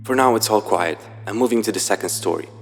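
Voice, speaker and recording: A man voicing an uneasy, wistful explorer in a horror story, speaking haltingly and apologetically. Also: lowish voice, creaky, big-room sound, soft background music. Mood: wistful, hesitant. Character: uneasy, wistful male explorer in an eerie abandoned building. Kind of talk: reenacted dialogue from a horror series.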